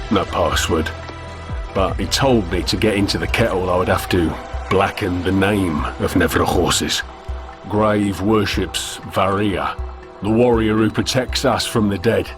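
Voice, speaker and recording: An actor playing a solemn male devotee in a sci-fi game, speaking in a measured, sorrowful way. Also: low voice. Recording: game audio with music behind. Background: music.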